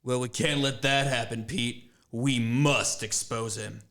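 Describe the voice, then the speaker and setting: A man's voice: medium pitch, steady tone, a little slow, a bit bright. A man playing an earnest young student who speaks with urgency. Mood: urgent.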